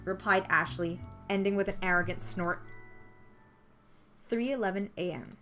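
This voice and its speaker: A woman's voice: mid-range voice, normal speed, bright, forceful tone, faint music behind. A woman narrating a visual novel playthrough, reading the first-person story text aloud.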